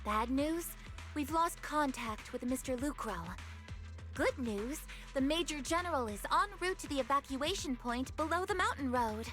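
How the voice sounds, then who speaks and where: A woman's voice: high voice, animated, normal speed, a bit bright. An actress playing an earnest, urgent young female soldier in an anime game, with music behind her. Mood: focused, serious.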